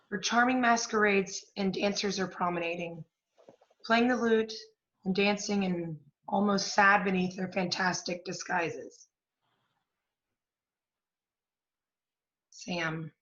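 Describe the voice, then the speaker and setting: A woman's voice: medium pitch, steady tone, a little slow, a bit bright, close to an everyday voice. Dull recording, guilt-ridden professional woman played onstage. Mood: gentle. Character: guilt-ridden, driven female literary professional, apologetic, pragmatic. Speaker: apprentice stage actor. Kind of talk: stage play acting.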